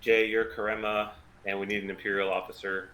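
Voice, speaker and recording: A man's voice: on the low side, little pitch movement, fairly quick, a bit bright, muffled recording. A man chatting casually as he organises a group script read over an online call. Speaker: writer and organiser of the table read.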